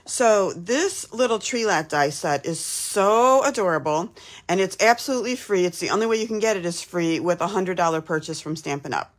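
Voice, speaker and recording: A woman's voice: on the low side, expressive, normal speed. Chatty woman with a slight cold. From Texas. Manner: chatty, upbeat, lots of "um"s. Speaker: craft demonstrator hosting a live stream. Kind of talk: casual live craft demo. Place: home craft room.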